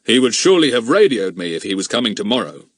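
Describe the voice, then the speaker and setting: A man voicing a calm, kindly ship's officer with a matter-of-fact, advising manner in an audiobook. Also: on the low side, fast talker, dry recording, clean studio sound. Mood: reassuring, practical. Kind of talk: audiobook character voice.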